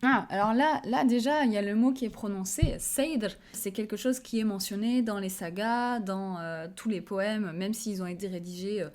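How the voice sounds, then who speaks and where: A woman's voice: medium pitch, moderate pace, few pauses, darkish tone. A young woman talking casually about Norse history and myth for her channel, thinking aloud with frequent hesitations. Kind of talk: casual educational commentary.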